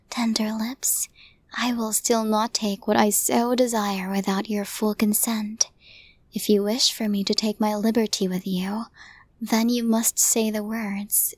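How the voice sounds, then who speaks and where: A woman playing a possessive, lovestruck yandere crime boss in an intimate ASMR audio roleplay, speaking in a breathy, deliberate, formal way. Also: mid-range voice, dry recording, natural voice. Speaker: voice actor in romantic audio roleplay.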